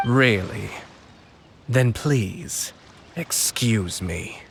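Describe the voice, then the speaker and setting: A low-voiced, composed noble strategist. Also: male voice, expressive, creaky, heavy background noise, full character voice. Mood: cool, commanding. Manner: composed, measured, formal delivery. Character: calm, shrewd noble clan lord and strategist. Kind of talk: video game voice acting.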